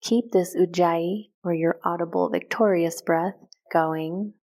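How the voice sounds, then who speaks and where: A woman leading a guided meditation in a calm, gentle, unhurried way with short measured phrases. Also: fairly low pitch. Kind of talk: guided meditation, soft instructional narration.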